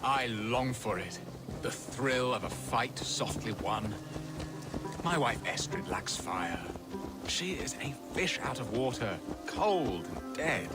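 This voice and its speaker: An actor playing a self-pitying, romantic Saxon lord in a video game, with a theatrical, wistful and dithering manner. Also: male voice, medium pitch, lively intonation, slow, loud music behind the voice. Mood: lovelorn, self-pitying. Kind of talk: video game drama acting.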